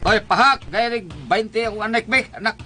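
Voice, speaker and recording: A man's voice: high voice, moderate pace, pushed and bright. A man playing a neighbourhood buddy in a comedy skit, with a Cebuano accent. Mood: chummy.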